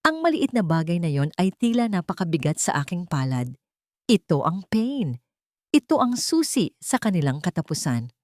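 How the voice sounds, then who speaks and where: A woman's voice: fairly low pitch, sing-song, moderate pace, darkish tone, no room sound, clean studio sound. A woman reading a scripted, dramatic first-person story as an audio drama narrator.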